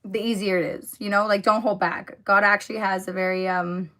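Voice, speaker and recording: A young woman whose voice is hoarse and worn from a cold. She chats loosely and warmly with her viewers, her sentences full of fillers and restarts, and she keeps a middle pitch. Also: flat delivery, fairly quick. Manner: chatty, filler-heavy, talks to viewers in the comments. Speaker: livestream host. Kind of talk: casual livestream chat while doing makeup.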